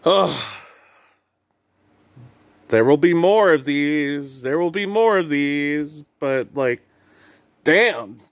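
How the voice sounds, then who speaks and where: A man's voice: fairly high pitch, animated, extremely slow, pauses often, dry recording, clean studio sound. A young adult man talking informally and with playful drama as a vlogger telling a story, recorded on a phone held at arm's length indoors. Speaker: vlogger and host. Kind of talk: casual vlog storytelling, reading a short story aloud. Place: indoor room.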